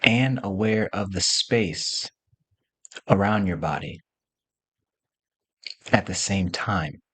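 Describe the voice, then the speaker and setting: A man leading a guided meditation, giving gentle instructions in short, spaced phrases as a teacher. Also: sits low, expressive, takes it slowly, breathy edge, dry recording.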